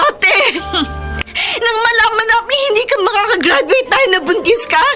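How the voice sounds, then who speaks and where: An actress playing an earnest young student daughter, wounded and defiant, in an emotional, emphatic radio drama style. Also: female voice, extremely high pitch. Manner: emotional, emphatic, pleading delivery. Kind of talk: Tagalog radio drama acting.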